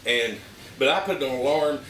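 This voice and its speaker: A man with an Arkansas Southern accent giving a casual, plain-spoken, halting product review to camera. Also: mid-range voice, expressive, a bit bright. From Arkansas, United States. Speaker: vlogger reviewing emergency gear. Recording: roomy, distant mic with background noise.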